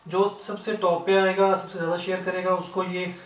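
A man's voice: high voice, flat delivery, normal speed. A man with a Pakistani accent giving an informal, explanatory product demonstration as a shop seller. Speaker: shop seller, video host. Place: indoor room, goods spread on floor. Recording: roomy, distant mic, faint noise.

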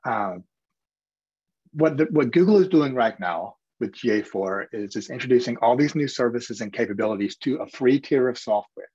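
Husky man moderating a panel. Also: mid-range voice, animated, normal speed, darkish tone, no echo. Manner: affirming, steering the talk. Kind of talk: webinar panel discussion. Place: room indoors. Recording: dull lo-fi video call audio.

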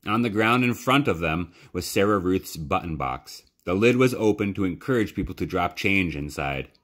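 A man reading a children's novel aloud as a library storyteller, with measured, dramatic pauses. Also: deep voice, expressive, a bit bright. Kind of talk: expressive children's audiobook-style narration.

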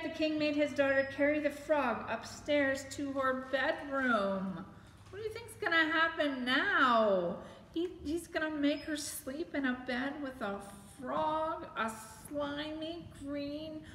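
A woman's voice: high voice, a bit bright. An American woman reading a children's story aloud in storytime style, as a librarian would. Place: library room with bookshelves. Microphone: camera a few meters away. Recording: echoey room, distant camera, faint noise.

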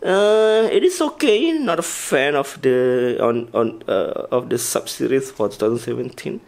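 A man casually walking through his diecast car collection, talking haltingly and listing models one by one. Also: medium pitch, animated, gravelly, a little mellow. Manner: halting, with restarts and listed names. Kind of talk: casual toy collection walkthrough.

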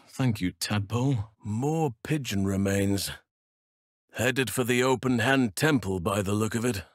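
A man acts out a wise male elf druid for a fantasy game. His low voice is measured and solemn, with a gentle, thoughtful manner. The recording is dry and close. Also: no room sound, natural voice. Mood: thoughtful, calm. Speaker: video game character. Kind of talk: dramatic game dialogue.

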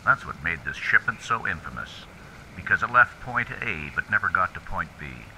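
An actor playing a world-weary, lovesick hard-boiled police detective in a brooding, slangy film noir radio drama style. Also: male voice, sits low, sing-song, normal speed, bright, forceful tone, a little hiss or hum, full character voice, rough recording. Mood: sardonic.

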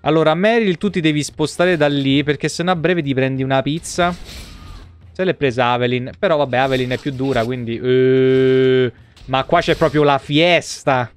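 A man's voice: mid-range voice, normal speed, clear, resonant tone. A man in his thirties casually commenting on a game live, in slangy speech with free swearing. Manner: crude, slangy, swears freely. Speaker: game streamer. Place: small room at home, streaming setup. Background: game music.

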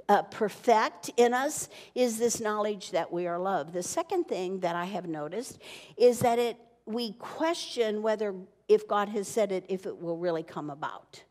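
Older woman preaching, lively, in a church hall. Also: on the high side. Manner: animated, teaching, gesturing widely. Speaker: pastor. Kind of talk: sermon. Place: church stage with wood-panel wall. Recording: long reverb from church hall.

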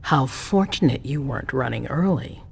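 A coolly commanding female vampire ruler in a game drama. Her very deep, husky voice is formal and probing, ringing with long reverb. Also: lively intonation, normal speed, full character voice. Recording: long echoing hall reverb.

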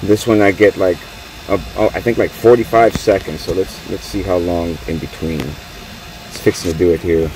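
A man's voice: sits low, moderate pace, rough recording. A man, a refrigeration technician casually walking viewers through a fault on a rooftop, filmed on a phone held close. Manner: relaxed, plain-spoken, talks to viewers as "y'all". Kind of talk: casual on-the-job walkthrough. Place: outdoors on a commercial rooftop by running equipment. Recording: loud machinery and traffic noise. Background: loud compressor and fan hum.

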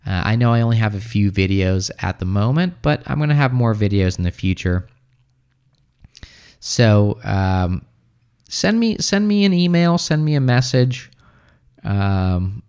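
A man in his thirties who grew up in the United States, hosting a casual English-teaching livestream from a small room at home. He reads viewer comments and answers them offhand, with lots of fillers, into a close boom mic. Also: a little mellow, clear, resonant tone. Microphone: studio mic on boom arm close to mouth.

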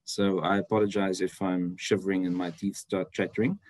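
Low-voiced Afrikaans-speaking man on a video call. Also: level tone, moderate pace, a little mellow, no echo. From South Africa. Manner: friendly, chatty, many ums. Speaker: university lecturer presenting. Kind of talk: online seminar presentation. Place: indoor room, video call.

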